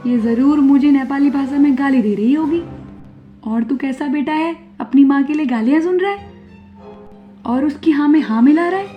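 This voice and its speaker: A woman playing a suspicious, dramatic middle-aged mother-in-law in an animated moral story, exclaiming and complaining. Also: high voice, moderate pace, close to an everyday voice. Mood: dismayed, scolding. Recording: dull lo-fi audio, music behind.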